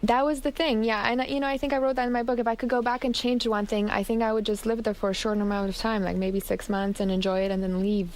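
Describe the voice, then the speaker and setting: A woman's voice: a little high, little pitch movement, fast talker, hardly any pauses. A woman from Canada speaking conversationally as the guest in a radio interview. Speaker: interview guest, memoir author.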